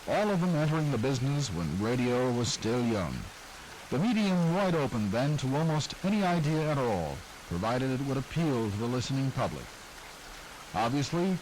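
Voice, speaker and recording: A man's voice: medium pitch, rough recording. A man with an American accent delivering scripted documentary narration as the narrator. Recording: rain noise over old broadcast audio. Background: steady rain.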